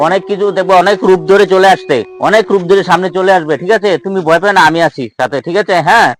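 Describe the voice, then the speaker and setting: A man's voice: sits high, steady tone, fast, soft background music, lightly acted. A man playing an earnest, reassuring villager in an improvised comedy skit, repeating himself and constantly checking for agreement. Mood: reassuring, urgent. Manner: repetitive, constantly seeking agreement. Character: earnest villager guide organizing a jinn hunt, reassuring.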